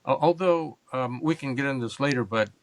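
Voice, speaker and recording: A man with an American accent speaks at a middle pitch in a dry, close recording. He explains himself carefully and reflectively, pausing and feeling his way through each answer. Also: dry recording. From Japan and California. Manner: measured, halting, explanatory. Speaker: interview guest, government and business leader.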